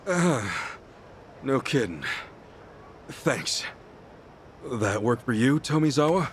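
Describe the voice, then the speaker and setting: An actor playing an earnest, hot-headed, good-hearted middle-aged hero in an English-dubbed video game, expressive and informal. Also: male voice, mid-range voice, takes it slowly, a little hiss or hum. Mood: grateful, relaxed. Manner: expressive, informal, exclaiming, swearing.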